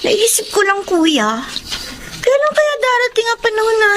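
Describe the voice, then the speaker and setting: A woman's voice: very high-pitched, sing-song, moderate pace, noisy background. A woman playing a wistful young working sister in a Filipino radio drama. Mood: wistful, sad. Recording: busy crowd sounds behind. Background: crowd.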